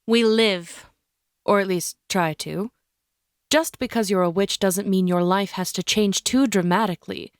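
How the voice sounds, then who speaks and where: A woman playing a formal, protective witch who leads a coven in an audio drama, speaking with measured authority. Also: medium pitch, steady tone, smooth, dry recording, studio quality, natural voice. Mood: authoritative. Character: stern, formal female coven leader, protective and wise.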